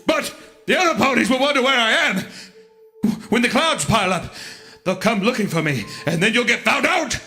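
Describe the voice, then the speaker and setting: A man's voice: extremely high pitch, expressive, moderate pace, pushed and bright, soft background music, heavily acted. A man voicing a frantic, pleading female pegasus pony in a dramatic comedic story reading. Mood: desperate reasoning.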